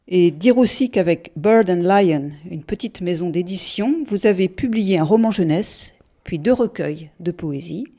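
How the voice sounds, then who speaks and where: A woman hosting a radio interview, reading a prepared introduction of her guest in a measured way. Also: lowish voice, animated, dark tone. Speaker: radio host.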